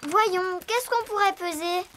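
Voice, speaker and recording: A woman's voice: very high voice, measured pace. Tina, an eager little girl. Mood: cheerful. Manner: lively, encouraging, gets carried away. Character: bold, eager young girl who meddles in everything. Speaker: voice actor. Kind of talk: cartoon voice acting. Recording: studio voice with loud cartoon music.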